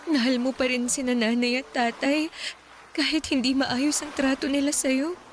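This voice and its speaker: A woman playing a gentle young student in a radio drama, speaking with a worried, hesitant, polite manner. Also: sits high, flat delivery, moderate pace, a little hiss or hum, lightly acted. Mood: grateful, hesitant.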